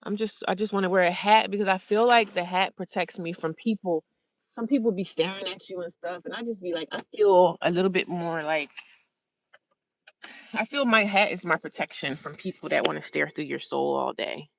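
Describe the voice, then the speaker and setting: A woman's voice: on the low side, moderate pace, vocal fry, low-quality recording. An American woman chatting casually to viewers as a livestream host, informal and often repeating phrases for emphasis.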